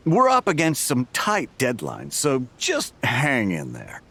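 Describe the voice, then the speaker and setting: A man's voice: medium pitch, wildly swooping pitch, takes it slowly, fairly bright tone, slight background noise, exaggerated voice. An actor playing a pushy, dismissive male boss who bargains and wheedles, in a video game. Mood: dismissive, pressuring.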